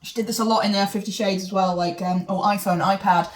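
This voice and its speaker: A woman's voice: medium pitch, fairly even intonation, fast talker. A woman reads a novel aloud and keeps breaking off to mock it with sarcastic, sweary asides. She is recorded from a distance in an echoey room. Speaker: reader of a novel. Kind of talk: mocking read-through with banter. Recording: echoey room, mic at a distance, faint noise.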